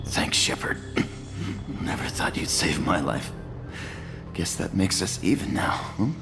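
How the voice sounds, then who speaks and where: Gravelly, low-voiced tech, hurt and distrustful, with swinging pitch. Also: male voice, big pitch swings, takes it slowly, over music, heavily acted. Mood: grumbling. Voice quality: gruff, suspicious. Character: wounded, bitter male station technician. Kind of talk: video game voice acting.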